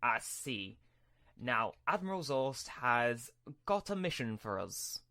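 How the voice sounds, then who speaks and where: A man playing a scheming, imperious Sith lord in a Minecraft roleplay, speaking in halting, deliberate phrases. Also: medium pitch, very animated, pushed and bright, dry recording, studio quality, heavily acted. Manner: halting, deliberate, with drawn-out pauses. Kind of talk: hobby Minecraft roleplay acting.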